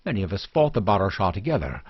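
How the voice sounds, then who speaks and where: Man as proud, grave, low-voiced homesteader. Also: sing-song, quick pace, breathy edge, dry recording, heavily acted. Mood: dignified, defiant. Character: proud, steadfast immigrant husband defending his home. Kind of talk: dramatic fantasy audiobook dialogue. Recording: dull lo-fi recording.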